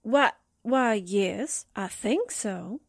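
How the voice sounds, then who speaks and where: A woman playing an eager, excitable, slangy newspaperwoman sidekick in a 1940s-style radio drama. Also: medium pitch, very slow, vocal fry, breathy edge, no room sound, studio quality, exaggerated voice. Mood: hesitant, willing. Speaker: actor in an amateur radio drama re-creation.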